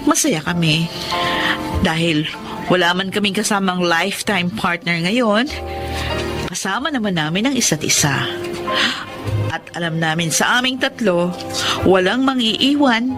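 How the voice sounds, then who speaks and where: A young Filipino woman voiced by an actress speaks in a radio drama with clear music behind. Her mid-pitched voice is sincere and measured, that of a loyal friend. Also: lightly acted.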